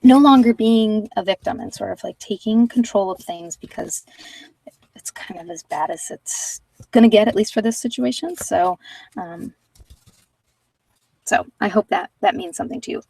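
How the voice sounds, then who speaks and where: A woman's voice: mid-range voice, moderate pace, gravelly, a little mellow, slightly rough, dry recording, distant mic, low-quality recording. A woman talking in a casual, conversational way as she hosts an informal tarot card reading. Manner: conversational, informal, anecdotal asides.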